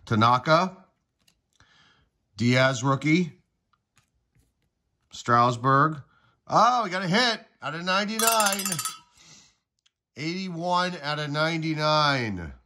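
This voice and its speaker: A man's voice: on the high side, slow pace, pauses often. Congested man rattling off names. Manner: relaxed, rattles off player names. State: congested, getting over a cold. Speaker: card-collecting channel host. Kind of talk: casual pack-opening commentary. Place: indoors at a table.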